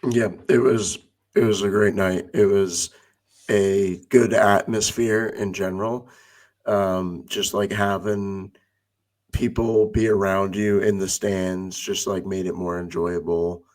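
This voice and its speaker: A man with a somewhat low voice speaks hoarsely in a casual podcast chat. He rambles and fills his sentences with "you know.". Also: flat delivery, slow, a little mellow. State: hoarse from yelling.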